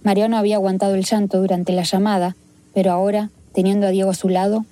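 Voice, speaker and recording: A woman reporter narrating a documentary story in a measured, descriptive way. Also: mid-range voice, flat delivery, warm tone. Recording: clear music bed underneath.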